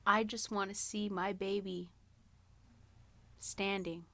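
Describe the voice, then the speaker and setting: A woman's voice: mid-range voice, flat delivery, takes it slowly, fairly bright tone. A woman co-hosting a podcast, chatting casually in an informal, playful, slangy way. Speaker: podcast co-host. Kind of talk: casual banter and song guessing game.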